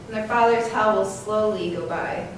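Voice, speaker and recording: A woman giving a measured recitation as part of a staged reading. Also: mid-range voice, slight background noise. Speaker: readers theater performer. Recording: very echoey hall, distant microphone.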